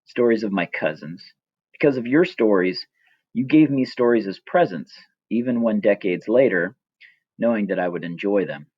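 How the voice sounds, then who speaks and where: A middle-aged man reading his own personal story as scripted narration, reflective, measured and gently wry. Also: fairly low pitch, slightly rough, no echo, highs cut off.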